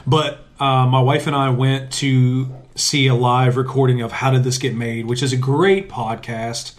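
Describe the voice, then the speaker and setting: A man's voice: mid-range voice, little pitch movement, unhurried. Jokey laid-back male podcast co-host. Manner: jokey, self-deprecating, laughs often. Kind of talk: casual chat. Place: sitting on a bench.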